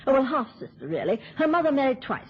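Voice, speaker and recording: An actress in a radio play as a brisk, bossy middle-aged aunt with a dry, sarcastic tongue. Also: female voice, high-pitched, very animated, exaggerated voice. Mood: brisk, tart. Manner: crisply commanding, dryly sarcastic. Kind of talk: radio drama acting. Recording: dull, lo-fi old radio recording.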